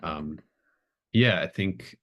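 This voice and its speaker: Low-voiced man running a tabletop game. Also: slow. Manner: hesitant, searching for words as he improvises. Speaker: game master. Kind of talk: improvised tabletop game narration.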